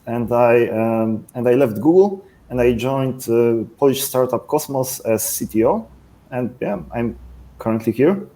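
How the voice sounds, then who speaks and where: A man in his thirties, a startup CTO, explaining his career plainly on a video call in a relaxed way with casual fillers. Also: medium pitch. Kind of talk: panel discussion answers.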